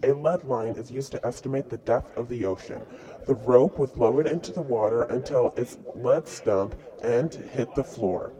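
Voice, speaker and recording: A man's voice: mid-range voice, moderate pace, warm tone, lightly acted, rough recording. A man playing a boastful dead explorer in a mock interview, reciting his answers plainly. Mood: lecturing. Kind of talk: school-project mock interview.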